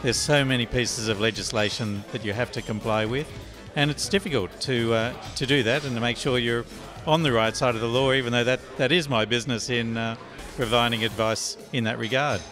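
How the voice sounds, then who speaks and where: A man in his fifties, a business adviser, answering in a steady, matter-of-fact way. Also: medium pitch, brisk, hardly any pauses. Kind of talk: vox pop interview answer. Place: indoor function room. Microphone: handheld interviewer mic. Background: background music.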